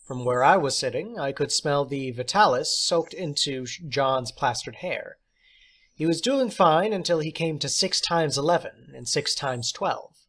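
Slow-paced man reading a short story. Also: fairly high pitch, animated, fairly bright tone. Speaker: audiobook reader.

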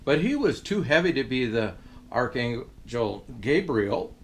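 An older man playing Santa reads a children's story aloud in an expressive, jolly manner. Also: mid-range voice, unhurried. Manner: expressive, jolly delivery with chuckles. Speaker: storyteller dressed as Santa Claus. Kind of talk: animated storybook reading for children.

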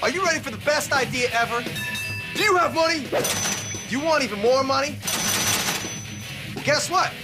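A cocky sitcom schemer with swooping, lively delivery. He is eager and pigheaded, pushing his ideas over loud music. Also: very high voice, normal speed, long pauses. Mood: hyped pitch. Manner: eager, insistent. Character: brash, stubborn, overconfident male schemer. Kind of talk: sitcom acting.

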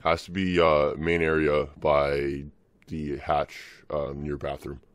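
Very deep-voiced male gamer, casual callouts. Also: flat delivery, slow pace, clear, resonant tone, no echo. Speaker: gamer playing a team shooter. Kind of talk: casual in-game team callouts. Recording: noisy game voice chat, gameplay sounds. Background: video game sounds.

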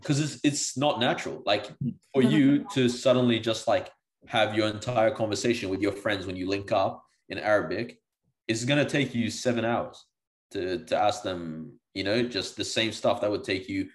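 Laid-back low-voiced man saying "bro". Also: normal speed. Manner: relaxed, slangy, conversational, says "bro" and "like" often. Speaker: podcast co-host. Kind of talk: casual group discussion. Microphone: video call.

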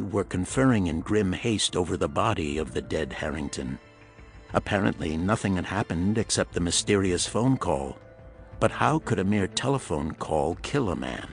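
A man narrating a pulp thriller audiobook in a dramatic, husky storytelling voice. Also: sits low.

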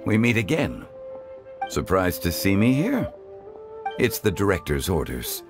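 A man voicing a calm, dignified male scholar in a game, speaking in a measured, formal way. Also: sits low, music in the background, heavily acted. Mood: composed.

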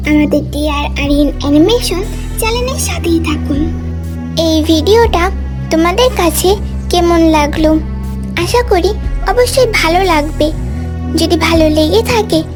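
A woman announcer delivers a scripted channel outro. Her voice is extremely high, with children's-style music clearly behind it.

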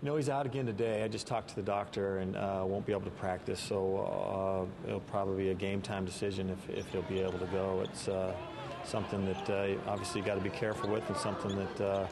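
A male coach talks casually in a halting way, with a low, gravelly voice and restrained intonation. Loud practice noise and bouncing balls surround him. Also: little pitch movement. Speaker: basketball coach. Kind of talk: casual press interview. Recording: very noisy gym, bouncing balls. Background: basketball practice noise.

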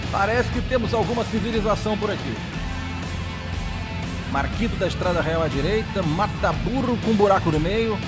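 A man's voice: high-pitched, animated, normal speed. A Brazilian man giving casual, slangy, exclamatory vlog commentary to viewers as he rides a motorcycle outdoors. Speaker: motorcycle travel vlogger. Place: outdoors, riding a motorcycle on rural trails. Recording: loud music over the voice.

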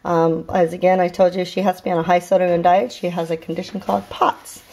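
A woman's voice: lowish voice, flat delivery, brisk, darkish tone, rough recording. A middle-aged woman narrating a grocery haul at home in her kitchen, casual and chatty with lots of ums and uhs, filming on a handheld camera. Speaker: vlogger showing a grocery haul. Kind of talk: casual show-and-tell narration. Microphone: handheld camera filming the counter.

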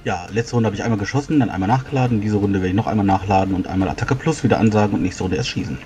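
A man's voice: on the low side, racing, music in the background. A man explaining tabletop game rules in detail and in a casual way, as a player. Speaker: tabletop role-playing player. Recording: faint background noise.

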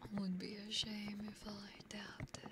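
A woman streaming ASMR, whispering and softly chatting to viewers in a soothing, playful way, close to a binaural microphone. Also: medium pitch, completely flat delivery, unhurried, very noisy. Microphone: close binaural ear microphone.

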